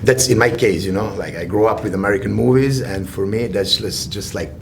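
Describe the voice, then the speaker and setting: A man with a Serbian accent answering interview questions in a relaxed, chatty way, laughing often. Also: lowish voice, brisk, vocal fry, a little hiss or hum. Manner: relaxed, laughs often, lots of "you know". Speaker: actor, interview guest.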